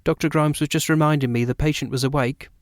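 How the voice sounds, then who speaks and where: A man's voice: on the high side, fairly even intonation, quick pace, dry recording, clean studio sound, pitched above the performer's own voice, close to an everyday voice. A man voicing a deferential young maid serving at table. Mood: polite. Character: polite young female maid.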